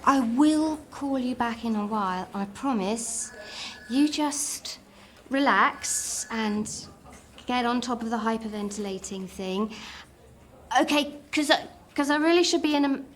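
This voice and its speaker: A woman's voice: a little high, a little slow, a little hiss or hum, lightly acted. An actress playing a flustered mother who stammers and trips over her words, with a sarcastic edge. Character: flustered middle-aged mum juggling calls. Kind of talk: sitcom acting.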